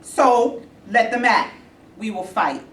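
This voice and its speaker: A woman's voice: fairly high pitch, takes it slowly. A woman playing a defiant, demanding movement activist in a staged play reading, with a challenging manner. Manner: insistent, challenging. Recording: echoey room, distant mic, background noise.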